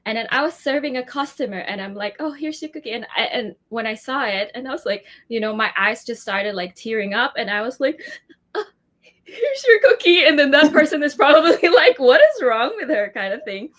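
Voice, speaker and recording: A woman's voice: high-pitched, big pitch swings, a little fast, fairly bright tone. A young woman from the Philippines, an actress chatting as an interview guest, talking at length with many fillers and frequent laughter. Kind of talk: casual interview chat.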